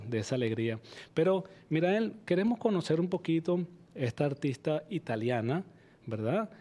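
Man hosting, dark mellow voice. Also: medium pitch. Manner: earnest, leads prayers in a steady recitation. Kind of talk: broadcast interview with opening prayers.

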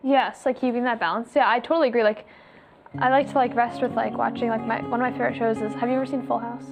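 A slightly hoarse woman hosting a casual faith discussion, talking loosely with many "like"s. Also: on the high side, steady tone, fast. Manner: chatty, fills speech with "like". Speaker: host. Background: guitar music.

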